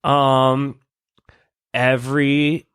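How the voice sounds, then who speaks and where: A man's voice: medium pitch, flat delivery, drawn-out words, fairly bright tone, clear, resonant tone, no room sound, studio quality. A man co-hosting a comedy podcast in casual, agreeable banter. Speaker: podcast co-host.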